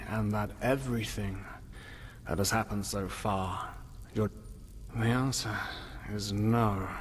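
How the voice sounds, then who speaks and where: An actor playing a despairing, half-mad prisoner in a video game, weary and fatalistic, brooding in halting, philosophical lines. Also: male voice, fairly low pitch. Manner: brooding, halting, philosophical, with sighs and whispery trailing words. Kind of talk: dramatic video game dialogue acting. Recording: long hall reverb, noisy ambience.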